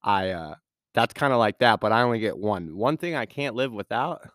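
A man's voice: fairly low pitch, expressive, moderate pace, fairly bright tone, no echo. A man hosting his own podcast in a studio, answering questions in a casual, rambling way, his voice scratchy from a sinus infection. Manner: casual, rambling, with frequent fillers and restarts. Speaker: podcast host. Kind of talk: solo podcast Q&A.

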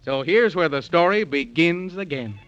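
Male narrator, slightly high voice, theatrical rhyming bedtime storytelling, muffled 1930s radio sound. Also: lively intonation, moderate pace. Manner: dramatic, declaiming in sing-song verse.

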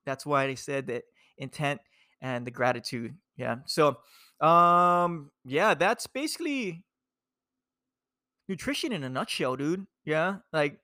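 A man's voice: fairly high pitch, bright, forceful tone, no room sound, studio quality. A young man from Hawaii, a nutrition coach, talking casually to viewers in a laid-back, rambling way full of fillers and "dude".

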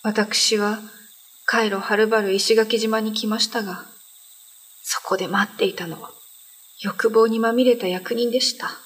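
A woman playing a gentle, devout female prisoner in a radio drama, speaking politely and earnestly. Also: on the high side, fairly even intonation, a little slow, close to an everyday voice. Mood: grateful, solemn. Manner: polite, earnest, formal speech. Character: gentle, devout imprisoned woman, grateful and weary. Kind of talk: Japanese radio drama acting.

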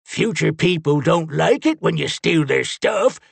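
Guy doing a grumpy sarcastic old monster hunter for an audiobook, croaky and animated. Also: a little high, moderate pace, no echo, exaggerated voice. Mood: grumbling. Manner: grumbling, sarcastic. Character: old man, grumbling, sardonic monster-fighting sidekick.

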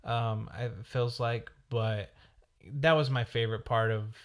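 A man hosting his show solo, talking in a rambling, chatty way and correcting himself, his voice raspy from a cold. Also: mid-range voice, steady tone, a little slow. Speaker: podcast host. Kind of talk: casual solo chat.

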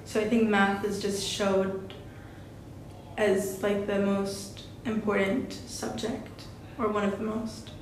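A woman's voice: little pitch movement, slow pace. A teenage female student giving her opinion casually and hesitantly in a discussion. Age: teens. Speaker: school student. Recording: very echoey room, faint rumble.

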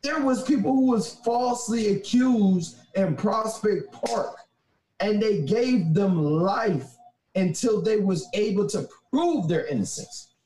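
A man in a casual debate chat, arguing his points slowly and emphatically. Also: high voice, lively intonation, warm tone. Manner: argumentative, slow and emphatic when making a point. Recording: roomy echo.

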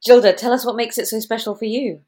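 A woman's voice: fairly high pitch, fairly even intonation, fast, dark tone. A woman hosting an interview, speaking in an enthusiastic, affirming way. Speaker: interviewer and host.

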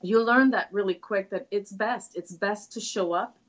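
A woman's voice: mid-range voice, moderate pace. A woman, answering interview questions in an earnest, reflective way with frequent hesitations. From Trinidad and Tobago. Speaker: guest. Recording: dull, lo-fi sound.

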